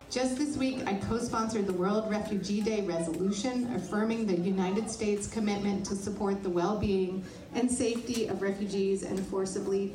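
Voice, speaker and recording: A woman reading a letter aloud at a public event, brisk and adding her own asides. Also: mid-range voice, far from the mic, noisy background. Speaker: reader of a message. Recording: roomy, from afar, crowd behind.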